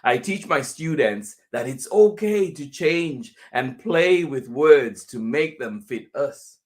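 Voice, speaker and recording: A man reading a children's picture book aloud as a teacher would, deliberately, with pauses between phrases. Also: mid-range voice, lively intonation.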